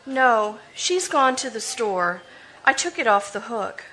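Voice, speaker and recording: An actress playing an anxious, timid sister in a live radio mystery recreation, answering in uneasy questions. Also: female voice, fairly high pitch, expressive, slow pace, bright, forceful tone, heavily acted, poor audio.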